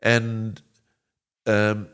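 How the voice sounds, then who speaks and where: Older man, low voice, chuckling. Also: monotone, extremely slow, a bit bright, clean tone. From Egypt, raised in South Africa. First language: Italian. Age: 70s. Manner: chuckles often, rambling with restarts. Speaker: guest. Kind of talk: interview conversation.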